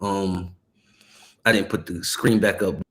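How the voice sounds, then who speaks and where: A man's voice: low voice, fairly even intonation, measured pace, a bit bright, slight background noise. A man from South Carolina hosting a reaction video, talking in loose slang and laughing easily. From South Carolina, USA. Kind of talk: casual reaction commentary.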